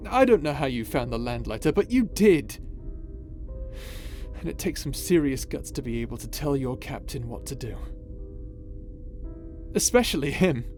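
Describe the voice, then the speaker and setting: A man's voice: a little high, warm tone, lightly acted. A man playing an impassioned space pirate captain in an audio roleplay, with a dramatic, emotive delivery full of sighs. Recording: voice over background music.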